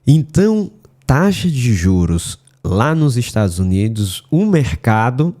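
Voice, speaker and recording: A man with a Brazilian Portuguese accent, hoarse from a cold, talking casually through a weekly market analysis and checking in with viewers often. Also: mid-range voice, sing-song, slow, dark tone. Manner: casual, addresses viewers often with filler tags.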